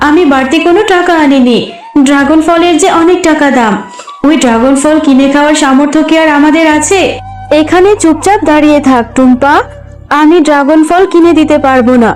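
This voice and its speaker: A woman's voice: high voice, brisk, a little mellow, faint music behind, close to an everyday voice, low-quality recording. A woman voicing a fretful, chiding animal mother in a children's cartoon. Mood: scolding. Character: poor, scolding but soft-hearted young cartoon animal mother. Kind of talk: children's cartoon dub in Bengali.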